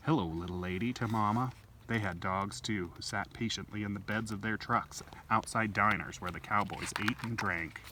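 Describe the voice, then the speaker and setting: A man hosting a storytime, gently reading a picture book aloud to a small child with chatty asides. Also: on the low side. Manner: gentle, unhurried, explaining things to a small child. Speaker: library storytime host. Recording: distant home-video mic with faint noise.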